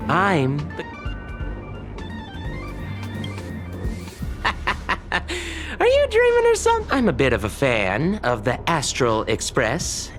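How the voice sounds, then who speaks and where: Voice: mid-range voice, fairly bright tone. An actor playing a condescending, teasing research colleague in a video game, chatty and scoffing with exaggerated delivery. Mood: scornful, teasing. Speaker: researcher character. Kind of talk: animated video game dialogue.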